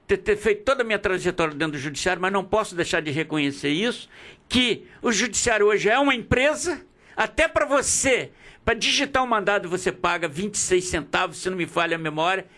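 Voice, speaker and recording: A man's voice: sits high, expressive, a little fast, pushed and bright. A man in his seventies with a Brazilian accent, hosting a radio interview in a conversational, opinionated way. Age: 70s. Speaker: radio host.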